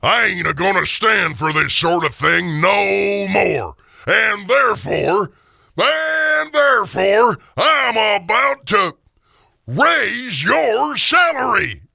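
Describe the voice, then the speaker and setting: A man voicing a gruff but giddily kind old miser in a radio drama, with a growling voice and halting, folksy speech. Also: high voice, big pitch swings, sharp tone, no room sound, clean studio sound, pitched above the performer's own voice, full character voice. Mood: mock stern. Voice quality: creaky, growling. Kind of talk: audio drama of a classic Christmas story.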